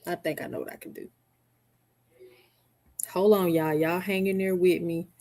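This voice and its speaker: A woman's voice: fairly low pitch, fairly even intonation, moderate pace, dark tone, a little hiss or hum. A middle-aged woman hosting a casual live stream from home, chatting with viewers by name and laughing often. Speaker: livestream host. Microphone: headset mic.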